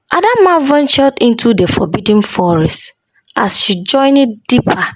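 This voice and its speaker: A woman narrates a folktale at an unhurried pace. Her pitch moves in wide, dramatic sweeps, with deliberate pauses between phrases. The sound is dry and close, free of room echo. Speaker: storyteller.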